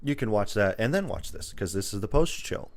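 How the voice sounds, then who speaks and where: A man's voice: fairly low pitch, animated, a little fast. A man hosting a podcast in a casual, rambling chat, listing things off as he goes. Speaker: podcast host.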